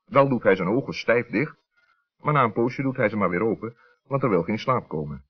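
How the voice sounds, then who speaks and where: Husky, expressive male storyteller. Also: lowish voice, fairly quick. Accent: Netherlands Dutch. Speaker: storyteller, narrator. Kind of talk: scripted children's story narration. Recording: muffled vintage radio recording.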